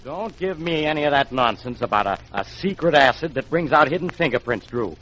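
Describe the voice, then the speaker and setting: A man's voice: fairly high pitch, lively intonation, brisk, fairly bright tone. An actor playing a blunt, skeptical man in a 1940s radio crime drama. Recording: muffled old radio recording, faint hiss.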